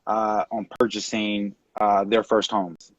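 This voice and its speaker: Low-voiced man in his late twenties. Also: slow, no echo. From California. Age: late 20s. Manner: relaxed, rambling, many fillers. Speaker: guest. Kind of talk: casual online interview. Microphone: video call. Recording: dull dry video-call audio.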